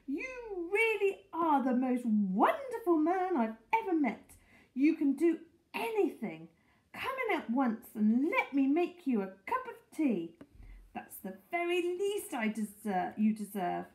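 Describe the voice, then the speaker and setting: A woman voicing an excitable, adoring lady from a storybook, exclaiming theatrically and gushing. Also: mid-range voice, measured pace, creaky, few pauses, reverberant. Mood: gushing delight.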